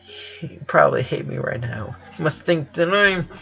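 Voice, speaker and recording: A man's voice: fairly high pitch, expressive, normal speed, gravelly, sharp tone, lo-fi sound, soft background music, pitched above the performer's own voice, heavily acted. A man voicing a dejected but proud teenage sister in a storybook, subdued and disappointed. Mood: despairing.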